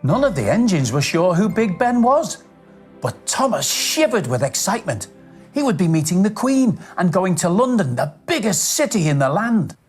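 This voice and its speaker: A man's voice: high voice, sing-song, normal speed, faint music behind. A man presenting children's storytime, narrating in a scripted style and speaking directly to young viewers with questions and simple instructions.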